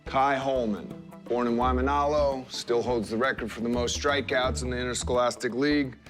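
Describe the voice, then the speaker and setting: A man playing a briskly briefing agent. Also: mid-range voice, fairly even intonation, over music, natural voice. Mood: matter-of-fact.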